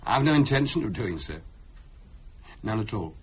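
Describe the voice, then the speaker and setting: An actor playing a sceptical ordinary husband, shaken and hesitant, speaking plainly and haltingly in a supernatural radio drama. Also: male voice, fairly low pitch, big pitch swings, slightly rough. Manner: halting, stammering, plain-spoken.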